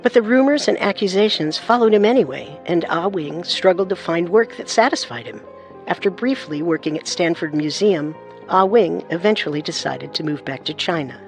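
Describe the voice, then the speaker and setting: A woman's voice: fairly low pitch, lively intonation, moderate pace, faint music behind. A woman co-narrating a true-crime story in a scripted, suspenseful manner.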